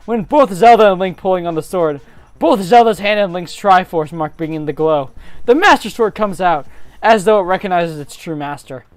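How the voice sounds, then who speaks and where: A woman's voice: fairly low pitch, animated, moderate pace, no room sound. A woman narrating a fantasy story informally, as an amateur reader would, over faint music. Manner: informal, breaking into giggly asides.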